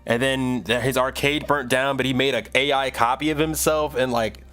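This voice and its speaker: A man's voice: mid-range voice, fairly even intonation, moderate pace, a bit bright. A man in his thirties chatting casually on a livestream, rambling and thinking aloud as he works. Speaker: live streamer making music. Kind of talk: casual livestream chat while working.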